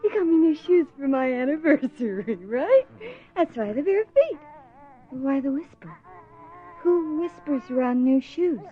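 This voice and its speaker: An actress playing a teasing, skittish wife in a radio drama, bantering and protesting with sarcasm. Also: female voice, high-pitched, animated, unhurried, narrow, tinny audio. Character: teasing, exasperated, skittish wife on her anniversary. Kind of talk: naturalistic radio drama acting.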